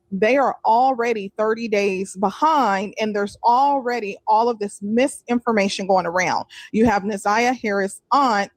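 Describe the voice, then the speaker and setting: A woman's voice: mid-range voice, no echo, low-quality recording. A woman narrating true-crime commentary from a script.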